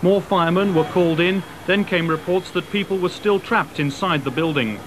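A man's voice: high voice, moderate pace. A man reporting the news in a scripted, matter-of-fact voice-over. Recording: old TV news with background noise.

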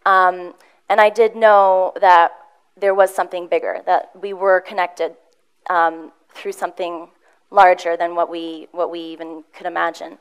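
Very clear-voiced woman giving an earnest talk. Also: lowish voice, flat delivery, a little slow. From Switzerland. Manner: earnest, warm storytelling with frequent ums and restarts. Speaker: motivational speaker. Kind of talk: conference talk. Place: conference room with audience.

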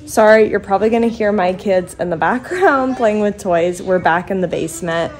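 A woman's voice: mid-range voice, normal speed. Chatty mom vlogging from her basement. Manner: chatty, rambling with many ums. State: stuffed up, congested. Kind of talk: casual vlog chat to camera. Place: home basement playroom, indoors. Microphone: phone held close to the face.

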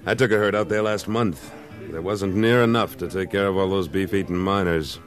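A man's voice: low-pitched, steady tone, normal speed, lightly acted. An actor playing a tough, laconic American cattleman in an old-time radio western, speaking in a terse, self-assured way. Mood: cool, confident. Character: tough, laconic cattleman gunslinger, a former cavalryman. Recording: old radio recording, faint music.

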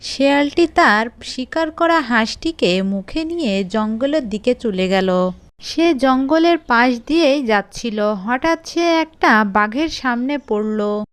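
High, smooth-voiced woman storyteller. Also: expressive, takes it slowly, no echo. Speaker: storyteller narrator. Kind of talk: scripted children's fairy-tale narration.

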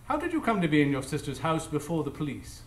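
A man plays a commanding gentleman detective in a radio play, with a fairly high, animated voice. He is clipped, formal and coolly logical, in a roomy space. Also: quick pace. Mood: commanding, probing. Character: brisk, imperious, coolly logical male detective. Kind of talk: live radio drama acting. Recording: roomy live stage.

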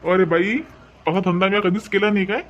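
A man's voice: sits high, steady tone, fairly quick, dull audio, close to an everyday voice. A man voicing a stubborn, haggling street peddler in a cartoon, with a Varhadi Marathi accent. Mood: defensive. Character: pushy middle-aged male street peddler. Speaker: umbrella seller.

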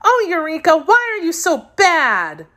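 Woman playing an earnest, high-voiced little girl. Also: a little slow, heavily acted. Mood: provoked. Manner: excitable, insistent. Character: anxious, earnest young girl pleading and scolding. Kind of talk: children's storybook reading.

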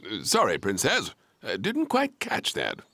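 A man's voice: on the low side, huge pitch swings, measured pace, vocal fry, sharp tone, full character voice. Swooping old British statesman. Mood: bemused. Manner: polite, formal. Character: courteous old male statesman, mildly bemused. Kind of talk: preschool cartoon acting. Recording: music behind.

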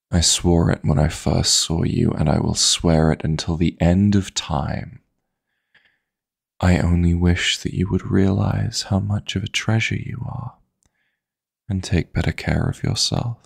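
A man playing a seductive, possessive yet tender dream demon lover in an intimate audio roleplay, murmuring flirtatious endearments in a husky, breathy voice close to the mic. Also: no room sound, exaggerated voice. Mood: tender, flirty, then concerned, coaxing. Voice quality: gravelly, husky, breathy low voice. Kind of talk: intimate romantic sleep-aid audio roleplay. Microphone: close, dry studio-style microphone.

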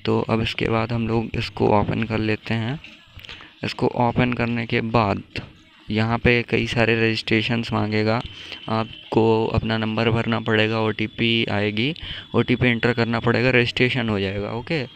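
A man's voice: fairly low pitch, completely flat delivery, unhurried, vocal fry, a little hiss or hum. A young man giving a casual, informal app tutorial, talking to viewers like buddies. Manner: informal, addresses viewers as bro. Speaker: tech tutorial YouTuber.

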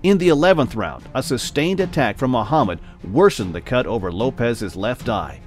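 Lively male documentary narrator. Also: medium pitch. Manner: measured, storytelling.

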